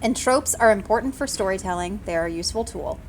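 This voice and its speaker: A woman's voice: mid-range voice, fast, slight background noise. A woman streaming a video game, giving casual, snarky and opinionated commentary. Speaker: let's play streamer.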